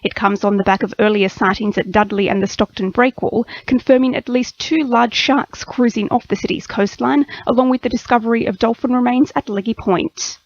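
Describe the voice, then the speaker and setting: An Australian woman reads the news on radio in a scripted bulletin style. Her voice sits a little high. The broadcast audio is slightly dulled. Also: brisk, hardly any pauses, lo-fi sound. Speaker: radio newsreader.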